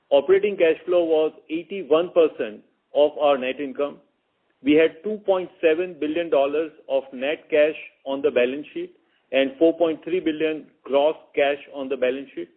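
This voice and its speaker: The sound is a narrow phone conference line. A man with a moderately high voice gives a formal financial briefing as a finance chief, sometimes doubling back on a word.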